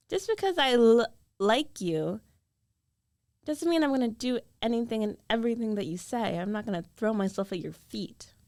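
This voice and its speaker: A woman playing a jealous, lovestruck young female roommate in an intimate ASMR roleplay, guarded yet tender, speaking with hesitant, emotional pauses. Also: fairly high pitch, darkish tone, dry recording, studio quality. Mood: upset, guarded.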